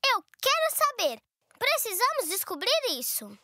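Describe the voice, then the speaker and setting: A woman's voice: very high voice, wildly swooping pitch, unhurried, heavy background noise, heavily acted. A woman voicing a curious, bubbly little girl in a children's cartoon, eager and full of questions. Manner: eager, inquisitive, exclaiming. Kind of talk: animated children's cartoon dialogue. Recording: music bed under the voice.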